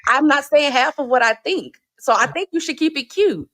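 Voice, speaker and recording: A woman's voice: high voice, expressive, normal speed, fairly bright tone, no room sound. Defiant woman panelist, dry sound. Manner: defiant, insistent, repeats phrases. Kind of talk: heated live-stream debate.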